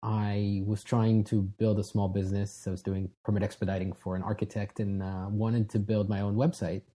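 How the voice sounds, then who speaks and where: Mellow, breathy man from Israel. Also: on the low side, normal speed. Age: 30s. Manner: relaxed, frequent restarts and fillers, self-deprecating. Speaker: guest. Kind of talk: casual interview talk.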